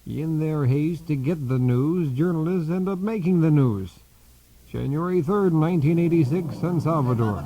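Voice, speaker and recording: Grave, mellow man playing a news anchor. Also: a little high, rough recording. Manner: solemn, measured. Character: grave, self-important male TV news anchor. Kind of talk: mock news broadcast narration.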